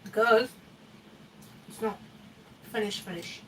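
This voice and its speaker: Slow-talking woman from Ghana, cooking. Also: medium pitch. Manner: chatty, thinking aloud while cooking. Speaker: cooking vlogger. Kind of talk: casual cooking commentary. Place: home kitchen. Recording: distant mic with kitchen noise.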